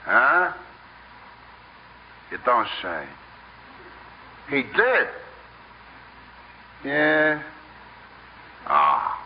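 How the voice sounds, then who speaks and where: A man's voice: mid-range voice, huge pitch swings, very slow, long pauses, heavily acted. An actor playing a hesitant, gullible gang henchman, in 1950s radio comedy style. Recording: muffled old radio, faint noise.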